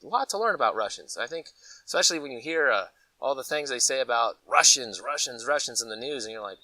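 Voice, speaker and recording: Animated American man with a bright, forceful voice. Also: mid-range voice, quick pace, dry recording, recorded from a distance, low-quality recording. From Colorado, United States. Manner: animated, folksy, conversational storytelling with acted-out asides. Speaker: host of a preparedness gear channel. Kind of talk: casual rambling vlog chat.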